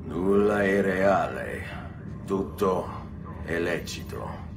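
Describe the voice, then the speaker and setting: A man's voice: deep voice, takes it slowly, noisy background. A man playing a brooding young Italian nobleman turned avenger in a video game, with an Italian accent. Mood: grave, resigned. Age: young adult. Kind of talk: video game cutscene acting.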